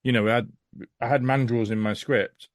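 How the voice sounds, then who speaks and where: A man's voice: mid-range voice, steady tone, moderate pace, no room sound. A man with a mid-range voice talks as a podcast guest, recorded dry. His delivery is casual and conversational. He is blunt and opinionated, and swears freely.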